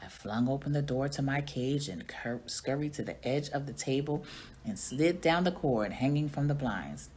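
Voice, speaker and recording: Low-voiced, animated woman reading a story. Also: normal speed, slight background noise. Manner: expressive storytelling. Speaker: reading coach or teacher reading aloud. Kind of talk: expressive children's story read-aloud.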